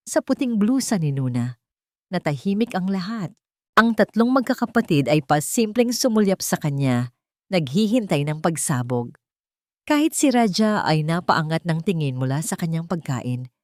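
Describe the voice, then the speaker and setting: A Filipino woman narrates a dramatic love story in a fairly low voice. Her pitch moves widely as she phrases each line deliberately. The recording is close and dry. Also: a little mellow, dry recording.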